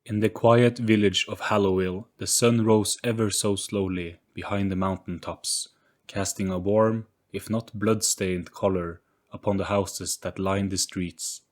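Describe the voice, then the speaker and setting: A man reading a scripted spooky storybook in a deliberate, theatrical storytelling manner. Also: low-pitched, steady tone. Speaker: narrator. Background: music.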